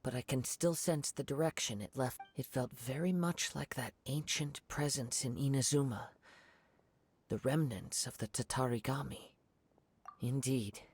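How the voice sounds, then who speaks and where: A calm young wandering samurai from a video game. His voice is soft and breathy at a middle pitch, and he speaks in measured, polite, contemplative phrases, observant and formal. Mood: grave, alert. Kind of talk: video game character acting.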